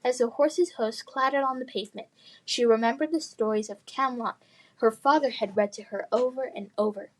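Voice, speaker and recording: A woman reading children's fantasy fiction aloud in expressive, scripted narration. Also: fairly high pitch, a little fast, rough recording. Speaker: storybook reader.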